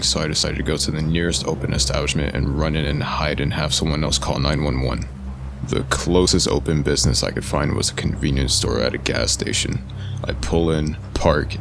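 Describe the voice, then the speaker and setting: A man with a very deep, gravelly voice reading a scripted first-person horror story as its narrator. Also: fairly even intonation, moderate pace, noisy background.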